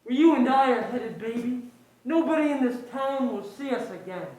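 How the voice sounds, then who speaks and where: A woman's voice: high-pitched, expressive, normal speed, warm tone. A woman playing a callous, cocky crooked gangster in a noir crime play on stage. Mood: callous, smug. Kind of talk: 1940s noir stage acting. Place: indoor stage, distant microphone. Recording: very echoey stage, far from microphone.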